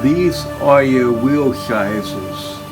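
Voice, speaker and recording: An older man casually showing equipment unscripted, plain-spoken and halting, with phrases that trail off. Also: medium pitch, music in the background. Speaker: collector presenting his tape machines. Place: indoor room full of audio gear.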